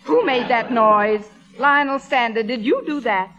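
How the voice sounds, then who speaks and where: A woman's voice: on the high side, a little slow, close to an everyday voice. A woman playing a stern, exasperated American schoolteacher in a radio comedy, bossy and scolding. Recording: dull old radio recording, audience, music.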